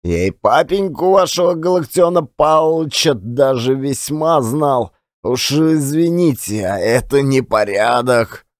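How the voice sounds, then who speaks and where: A man's voice: mid-range voice, expressive, a little slow, hardly any pauses, no echo, studio quality, natural voice. A man voicing a tender, lamenting old servant who talks in a folksy, sighing way and repeats himself, in an audiobook play. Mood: soothing.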